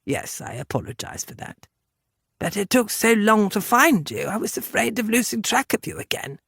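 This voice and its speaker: Audiobook role: a woman as a moneyed English gentleman, haughty, measured, formal, with very varied intonation. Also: medium pitch, big pitch swings, vocal fry, husky, no echo, studio quality, voice pushed up, full character voice. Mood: apologetic. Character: wealthy, condescending English gentleman of long acquaintance.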